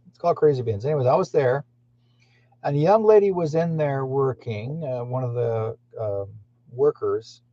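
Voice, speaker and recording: A man's voice: a little high, warm tone, dry recording. A man who hosts a channel, rambling indignantly in a monologue and speaking straight to his listeners. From Canada. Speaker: channel host. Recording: muffled lo-fi recording.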